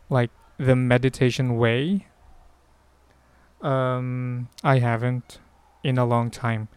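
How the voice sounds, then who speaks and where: Filipino guy doing a chill solo podcast, clear smooth voice, dry close mic, kinda rambles while thinking out loud. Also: medium pitch, dry recording. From Philippines. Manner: hesitant, rambling, thinking aloud. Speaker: podcast host. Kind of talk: casual solo podcast, answering questions.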